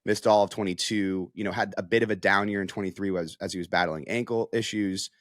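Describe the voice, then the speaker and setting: A man's voice: low-pitched, steady tone, brisk, dry recording, clean studio sound. An American man giving casual, conversational sports analysis as a reporter, with frequent filler phrases like "you know".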